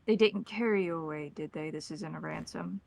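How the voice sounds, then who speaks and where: A woman playing a concerned, reserved male partner on a phone call, speaking in a measured, formal, polite way. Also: sits low, creaky, dry recording. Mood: concerned, relieved.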